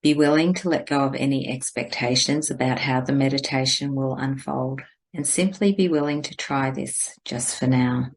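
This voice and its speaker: A woman leading a guided spiritual meditation in a gentle, deliberate, instructing manner. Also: very deep voice, low-quality recording.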